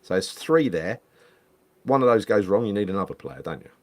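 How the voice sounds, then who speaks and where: A man's voice: on the low side, sing-song, fairly quick. Chatty man hosting football talk. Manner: chatty, rambling, repeats phrases. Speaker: podcast host. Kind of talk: casual football chat reading live comments. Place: home room.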